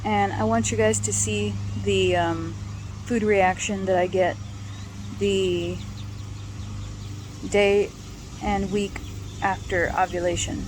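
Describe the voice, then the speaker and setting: A hoarse woman talking casually in a personal vlog, halting often with ums and restarts. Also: mid-range voice, steady tone, darkish tone, slight background noise.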